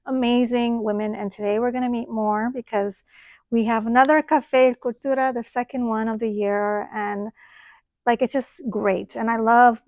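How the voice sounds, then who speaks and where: Woman hosting a chat podcast, bit high pitched, dry close sound, lots of yeah and mm-hmm. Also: level tone, moderate pace, dry recording. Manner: warm, affirming, frequent backchannels. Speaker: podcast host, college teacher. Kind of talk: casual interview chat.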